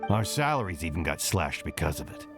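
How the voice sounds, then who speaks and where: Tired grumbly game guard, deep voice, complains a lot, lively swings, music behind. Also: male voice, fairly quick. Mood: exasperated. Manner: complaining, emphatic. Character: grumbling, weary male guard, put-upon. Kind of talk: video game voice acting.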